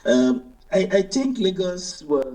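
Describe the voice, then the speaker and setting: Higher-pitched Nigerian man, off-mic. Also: sing-song, slow, mellow, distant mic, poor audio. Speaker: interview guest, newspaper editor and journalist. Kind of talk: conversational interview commentary.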